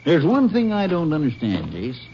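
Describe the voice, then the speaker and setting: An actor playing a friendly small-town Texas sheriff in a 1950s radio drama, speaking in a folksy, easygoing way. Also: male voice, on the high side, huge pitch swings, moderate pace. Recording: dull lo-fi old radio recording with faint hiss.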